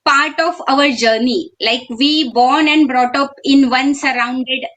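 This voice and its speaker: Brief: high-voiced woman in her forties, earnest. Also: fairly even intonation, slow, fairly bright tone. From Mumbai, India. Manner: earnest, repeats yes and yeah. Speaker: guest, homemaker. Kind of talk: casual interview talk.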